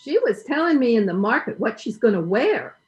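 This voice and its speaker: Muffled, higher-voiced gossipy housekeeper played by a woman. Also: big pitch swings, brisk, darkish tone. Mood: knowing. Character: plain-spoken, gossipy female housekeeper with relish. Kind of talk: old-time radio comedy acting. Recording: dull, muffled video-call audio.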